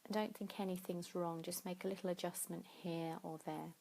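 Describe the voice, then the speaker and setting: Low, mellow woman guiding meditation. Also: steady tone, vocal fry. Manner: soothing, unhurried, pausing between phrases. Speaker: yoga nidra meditation teacher. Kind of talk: guided sleep meditation.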